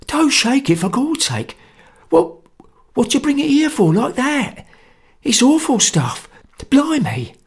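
High, squeaky, terrified Cockney coward. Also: male voice, big pitch swings, a little slow, pauses often, slightly rough, full character voice. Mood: panicky. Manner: stammering, pleading, gasping. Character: terrified, whining cowardly young male would-be anarchist.

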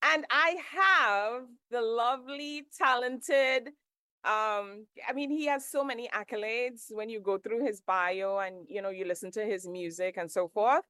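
A woman's voice: a little high, a little slow, sharp tone, clean tone, dry recording, studio quality. A woman hosting a casual talk show interview. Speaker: radio show host.

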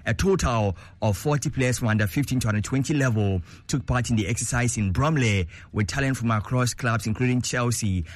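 A man presenting sports news in a steady, formal, scripted delivery. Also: mid-range voice, a little fast, few pauses, no room sound.

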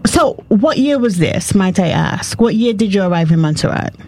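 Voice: medium pitch, lively intonation, moderate pace, a little mellow. A host speaking politely and formally with guests in a live interview.

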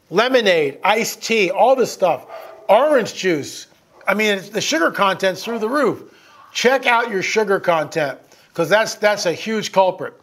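High-pitched man in his late sixties, exhorting. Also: fairly bright tone. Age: 60s. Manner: conversational, folksy, emphatic, with rhetorical questions. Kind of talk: informal sermon-like commentary, exhorting listeners.

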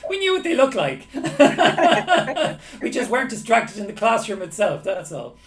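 Lively, agreeable man who laughs easily. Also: very high voice, reverberant. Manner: chatty, agreeable, laughs easily, quick affirming interjections. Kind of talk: casual conversation.

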